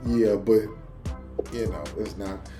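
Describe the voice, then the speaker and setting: A man's voice: lowish voice, little pitch movement, takes it slowly, mellow, soft background music. A man chatting casually in a slangy, conversational way as host of a reaction show.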